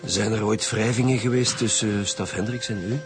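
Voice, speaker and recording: An actor playing a businesslike chief inspector, probing and firm, with a Flemish accent in a radio drama. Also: male voice, on the low side, steady tone, brisk, over music, natural voice. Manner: formal, probing questioning. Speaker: actor in a radio crime serial.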